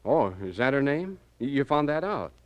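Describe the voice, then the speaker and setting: A man's voice: mid-range voice, sing-song, normal speed, highs cut off, natural voice. An actor playing a nervous married man in a mid-century radio crime drama, stammering and over-eager as he hides his trouble. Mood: anxious.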